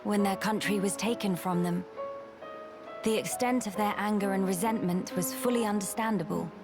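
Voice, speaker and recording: This is a woman acting as a courteous, compassionate young noblewoman from a video game. Her voice sits at a middle pitch and her wording is formal and measured. Strong music plays behind her. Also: lightly acted. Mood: earnest, resolute.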